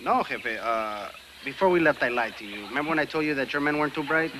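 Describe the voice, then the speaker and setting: An actor playing a cocky, sarcastic second-in-command with a wry comic delivery. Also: male voice, mid-range voice, expressive, quick pace, close to an everyday voice. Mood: sheepish deadpan. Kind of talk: broad comic TV acting. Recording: noisy VHS tape with ambience.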